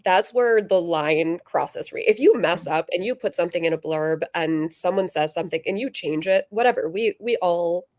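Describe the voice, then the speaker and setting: A woman's voice: fairly low pitch, moderate pace, few pauses, darkish tone, no echo, studio quality. A woman chatting casually and informally as a co-host, often acting out imagined exchanges in her talk. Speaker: book vlogger and podcast-style co-host. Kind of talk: casual chat.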